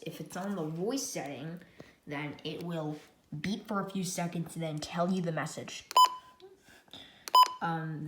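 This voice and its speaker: American woman, casual product demo, halting delivery, echoey room, loud noise and clicks. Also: sits low. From United States. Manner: halting, trailing off and restating. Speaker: product reviewer. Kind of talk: casual hands-on product demonstration.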